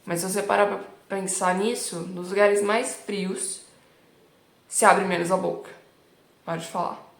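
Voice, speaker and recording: A woman's voice: mid-range voice, fairly even intonation, unhurried, creaky. A Brazilian woman with a São Paulo accent, teaching in a chatty, emphatic way full of small tag words. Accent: São Paulo Brazilian Portuguese. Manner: chatty and emphatic, frequent "né" and "tipo" tags. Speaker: Italian teacher. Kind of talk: casual teaching vlog. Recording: roomy echo.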